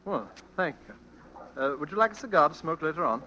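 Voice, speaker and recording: An actor playing a breezy, mocking gentleman reporter with a British accent in an old-time radio suspense play. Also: a little high, expressive, brisk, muffled recording, a little hiss or hum. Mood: jaunty. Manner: jaunty, mocking, chuckling. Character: breezy, teasing gentleman crime reporter, amused and unflappable.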